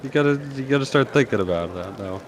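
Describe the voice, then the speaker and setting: A man's voice: mid-range voice, animated, fast talker, a crowd in the background, poor audio. A man co-commentating on a game in casual chat.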